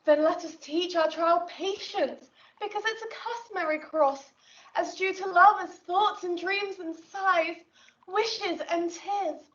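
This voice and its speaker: An actress playing an earnest, devoted young woman in love in a Shakespeare audio drama, delivering verse in a measured, theatrical way. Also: very high voice, a bit bright, distant mic, muffled recording. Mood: resigned.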